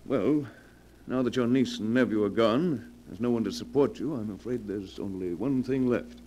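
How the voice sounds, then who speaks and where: An actor playing a grave, courteous small-town judge with measured, formal, halting speech in 1940s radio style. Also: male voice, medium pitch, warm tone, lightly acted. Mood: regretful. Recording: slightly noisy 1940s radio recording.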